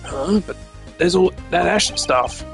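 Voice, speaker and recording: A man voicing an eager, bumbling, deferential police detective from a video game, excitable and quick to say sir. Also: sits high, creaky, pitched above the performer's own voice, heavily acted. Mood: anxious excited. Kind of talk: hobby voice acting of a video game. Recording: music behind the voice.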